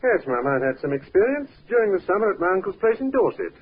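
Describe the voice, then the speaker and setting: A man's voice: sits high, sing-song, fast talker, slightly rough, full character voice. An actor playing a polite, eager young handyman of about eighteen in a 1950s radio drama, deferential and respectful. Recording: tinny 1950s radio recording.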